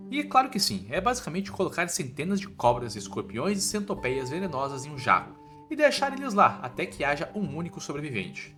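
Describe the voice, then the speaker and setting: A man's voice: on the high side, a bit bright. A man with a Brazilian accent narrating a story recap from a script in an informal style, with music behind him.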